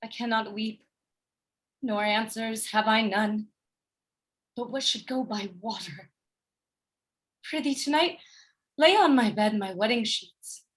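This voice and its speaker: An actress playing a loyal, innocent wife in a Shakespearean radio play, earnest, formal and sorrowful. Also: female voice, a little high, slow, pauses often. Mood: numb, sad. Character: loyal, innocent young wife, wounded and pleading.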